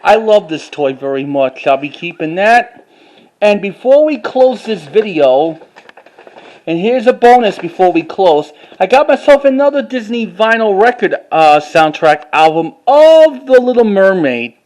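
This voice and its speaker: A man with a halting, word-repeating way of talking, doing a casual show-and-tell like an enthusiastic collector. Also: high voice, sing-song, a little mellow, poor audio. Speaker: vlogger.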